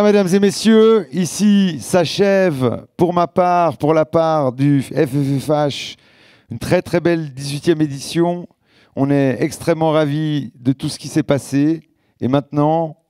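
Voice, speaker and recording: Guy, hosting an award night on stage, mellow and dry sound. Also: on the high side, a little slow, clear, resonant tone, no echo. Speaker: festival director presenting awards. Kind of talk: live stage address at an award ceremony.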